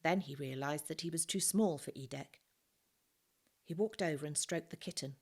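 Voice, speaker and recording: A woman teacher reads a novel aloud in a calm, measured way. Her voice sits a little low, and her narration stays steady and unhurried. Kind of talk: storybook reading.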